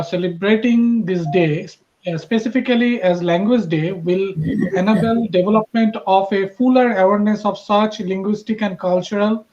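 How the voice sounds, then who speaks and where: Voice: medium pitch, a little mellow, muffled recording. Hesitant host of an online festival. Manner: hesitant, many fillers. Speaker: festival moderator. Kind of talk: hosting an online event. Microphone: video call.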